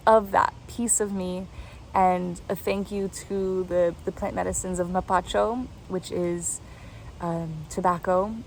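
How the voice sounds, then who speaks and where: A woman hosting her own channel, talking softly and reflectively in a casual way. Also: mid-range voice, gravelly, a little hiss or hum, poor audio. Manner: soft-spoken, reflective. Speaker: host.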